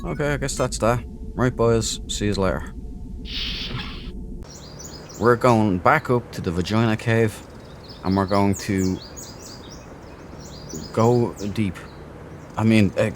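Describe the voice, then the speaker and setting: A man's voice: lowish voice, takes it slowly, long pauses, noisy background. A man giving casual, jokey and crude commentary as a let's play host.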